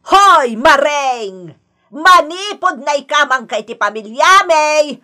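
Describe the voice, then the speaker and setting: A woman's voice: sits high, expressive, slow pace, bright, forceful tone, exaggerated voice. A Filipino woman with an Ilocano accent acting a quarrelsome older mother-in-law in a radio drama, theatrical and complaining. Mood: indignant scolding. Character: scolding, wheedling older mother-in-law, quick to take offence.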